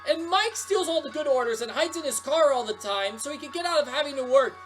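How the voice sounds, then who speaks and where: A woman's voice: mid-range voice, expressive, a little fast, soft background music, natural voice. A woman voicing a fed-up, sarcastic young male pizza worker who complains bitterly in a story. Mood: angry ranting. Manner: exasperated, complaining.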